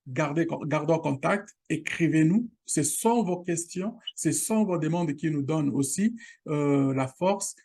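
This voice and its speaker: Gravelly, warm man with Lingala first language. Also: fairly high pitch. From Congo region, Lingala first language. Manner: halting, with many restarts and fillers; direct appeals to viewers. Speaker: online course channel host. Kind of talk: casual screen-share tutorial.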